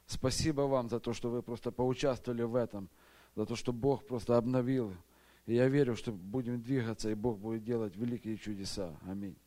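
A man's voice: mid-range voice, fairly even intonation, a little fast, warm tone, a little hiss or hum. Earnest man sharing a church testimony. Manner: earnest, grateful, plain-spoken. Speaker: retreat participant giving testimony.

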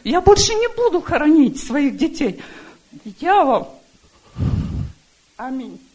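A middle-aged woman with a notably high voice gives a personal testimony before a church gathering. She speaks earnestly and haltingly, often stopping to search for the next word. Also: big pitch swings, darkish tone, poor audio. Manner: earnest, halting, with many fillers. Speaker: church member giving testimony.

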